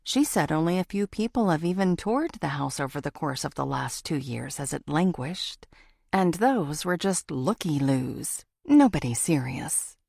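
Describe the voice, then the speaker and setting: A woman's voice: deep voice, expressive, a little slow, creaky, darkish tone, husky, no room sound, clean studio sound. A woman narrating a first-person thriller audiobook from a script.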